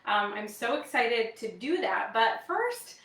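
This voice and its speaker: Female live demo host, Wisconsin accent, chatty and upbeat, slightly high voice, recorded at a distance in an echoing room. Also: sing-song, takes it slowly, a bit bright. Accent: American Midwestern, Wisconsin. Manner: upbeat, chatty, addressing viewers. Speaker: live demo host, direct-sales kitchenware consultant. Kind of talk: casual chatty livestream product demo. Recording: roomy echo, distant microphone.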